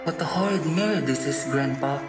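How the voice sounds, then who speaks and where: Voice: mid-range voice, a little fast, lightly acted. An actor playing a young grandson, an innocent, cheeky and curious schoolboy, in a radio drama. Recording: echoey room, faint music.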